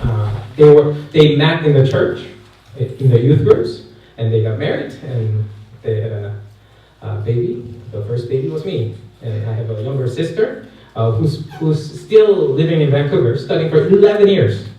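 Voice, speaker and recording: A man's voice: mid-range voice, lively intonation, normal speed, dark tone. A man in his thirties from Korea with a Korean accent, giving a chatty personal talk to a youth camp and asking the crowd questions. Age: 30s. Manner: chatty, asks the audience questions, many fillers. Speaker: guest speaker at a youth camp. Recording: echoey hall, mic far off, faint noise.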